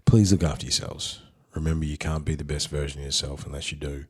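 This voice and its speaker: Very deep husky Australian man. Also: flat delivery, quick pace, darkish tone. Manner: laid-back, candid, chatty. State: unwell. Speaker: podcast host. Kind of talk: solo spoken intro.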